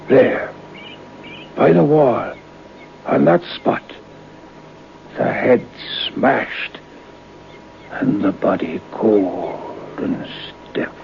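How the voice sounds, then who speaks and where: Old male storyteller, radio horror: grim, suspenseful, unhurried, on thin phone-like vintage audio. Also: on the low side, creaky, full character voice. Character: old man storyteller recounting a grim ghostly legend. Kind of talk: radio horror drama narration. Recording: tinny, noisy old radio recording.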